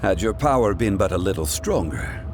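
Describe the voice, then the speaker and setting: An actor playing a composed ancient god in human guise, speaking in a dignified, measured way in a video game scene. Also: male voice, sits low, big pitch swings. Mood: grave. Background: orchestral game music.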